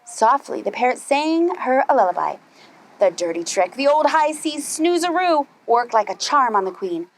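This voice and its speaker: A woman reading a children's story aloud as a preschool storyteller, animated and putting questions to her young listeners. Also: high-pitched, moderate pace, a little hiss or hum.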